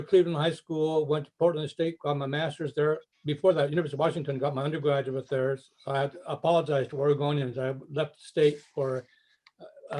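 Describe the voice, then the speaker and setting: Older man, mellow voice. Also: on the high side, poor audio. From Japan. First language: Japanese. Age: 70s. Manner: modest, self-deprecating, with frequent restarts. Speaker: foundation chairman. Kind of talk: personal introduction speech.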